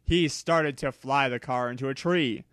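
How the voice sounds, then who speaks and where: Bright-voiced man giving a theatrical story reading. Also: medium pitch, moderate pace, dry recording, clean studio sound. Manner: theatrical, exclamatory delivery with exaggerated emphasis. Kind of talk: dramatic reading of fan fiction.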